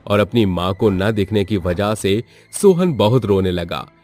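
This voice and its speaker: A man's voice: fairly low pitch, expressive, measured pace, darkish tone, faint music behind. A man narrating a scripted story as the storyteller.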